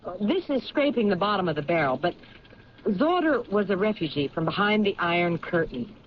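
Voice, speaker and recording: A persistent female police lieutenant played by a radio actress, probing and deliberate, with a mid-pitched, animated voice. The sound is dull vintage radio with faint noise. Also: lightly acted. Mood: urging. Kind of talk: old radio drama acting. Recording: muffled, noisy 1970s radio recording.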